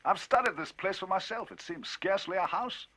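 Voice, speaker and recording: A man voicing an excitable gentleman recounting a tale, emphatic and husky, in a storybook reading. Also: sits high, brisk, pitched above the performer's own voice, exaggerated voice. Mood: animated. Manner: emphatic, rising, animated storytelling.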